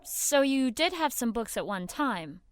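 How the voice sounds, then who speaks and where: An actress playing an earnest, stubborn, curious young woman in an audio drama, speaking with quiet determination. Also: a little high, big pitch swings, measured pace, smooth, no room sound. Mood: polite, cautious. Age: young adult.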